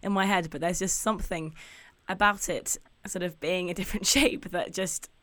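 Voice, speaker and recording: A woman's voice: fairly low pitch, fairly even intonation, moderate pace, a bit bright, dry recording. A woman interviewing a musician, chatty and friendly in her questions. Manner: chatty, laughs easily between questions. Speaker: radio interviewer.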